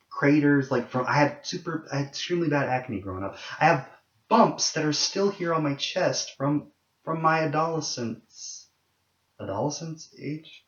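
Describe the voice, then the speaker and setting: A young man with a low voice talks candidly to the camera in a rambling vlog, with many ums and restarts. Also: a bit bright, echoey room, highs cut off. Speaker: vlogger. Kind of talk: casual monologue to camera.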